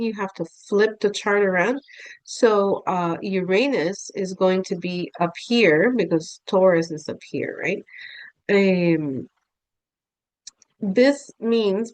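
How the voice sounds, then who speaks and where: A woman speaks with a stuffy, nasal voice from a cold. She talks slowly and loosely to her viewers, with plenty of fillers and restarts. The recording is dry, with little room sound. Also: medium pitch, dry recording. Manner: unhurried, frequent fillers and restarts, addresses viewers as "you guys". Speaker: astrologer. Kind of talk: casual explanatory talk to camera.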